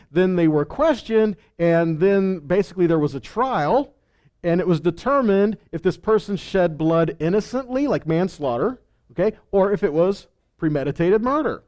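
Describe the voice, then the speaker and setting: Male Bible teacher, notably high register, conversational sermon delivery, didactic, asks and answers his own questions. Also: darkish tone.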